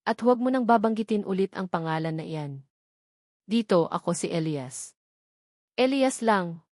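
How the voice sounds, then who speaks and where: A woman's voice: medium pitch, expressive, moderate pace, a little mellow, no echo, studio quality, close to an everyday voice. A woman voicing a bitter, guarded young man in an audiobook, speaking in terse, emphatic commands. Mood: cold. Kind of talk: audiobook character dialogue.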